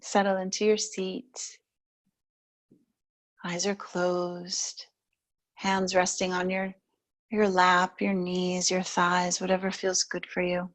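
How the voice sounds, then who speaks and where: Woman softly guiding bedtime yoga relaxation. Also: fairly low pitch, monotone. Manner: soothing, unhurried, with long pauses between short phrases. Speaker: yoga nidra and stretch instructor. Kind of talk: guided relaxation and gentle yoga instruction.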